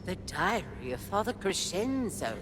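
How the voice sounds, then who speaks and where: A woman's voice: fairly low pitch, sing-song, a little slow. A woman playing a poised, intriguing nun in a cinematic video game. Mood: mysterious, teasing. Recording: long hall reverb, faint ambient noise.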